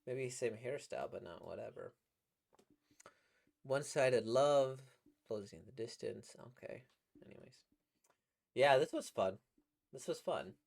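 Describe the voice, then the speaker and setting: Slightly squeaky man rambling playfully. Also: lively intonation, measured pace, vocal fry, pauses often, darkish tone. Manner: rambling, playful, laughs at his own jokes. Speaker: voice actor reacting to comics. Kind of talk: casual reaction commentary.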